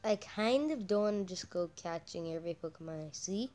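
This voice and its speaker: A woman doing casual, halting game commentary as a channel host, her voice croaky from a cold. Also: fairly low pitch, animated, mellow. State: croaky with a cold, coughing and throat-clearing.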